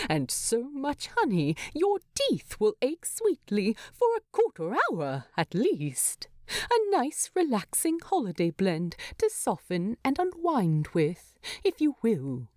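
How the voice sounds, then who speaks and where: A woman's voice: mid-range voice, wildly swooping pitch, takes it slowly, a little mellow, no room sound, clean studio sound, pitched above the performer's own voice. A woman voicing a chatty, fussy, cheeky male hobbit for a storybook, with a prim, wry manner. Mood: cheerful, teasing.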